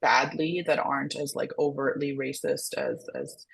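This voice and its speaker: A woman in her thirties from Singapore with an American-sounding international school accent, talking in a thoughtful, halting way full of fillers. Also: rumbling low, big pitch swings, creaky, breathy edge, poor audio. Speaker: mental health counselor co-hosting a discussion. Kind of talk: casual reflective conversation.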